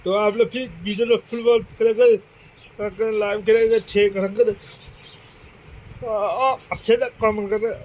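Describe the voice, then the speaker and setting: A man hosting a casual devotional vlog with a slightly sore throat. He speaks haltingly, with many restarts and repeated phrases. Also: high voice, flat delivery, darkish tone, slight background noise, rough recording. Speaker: channel host.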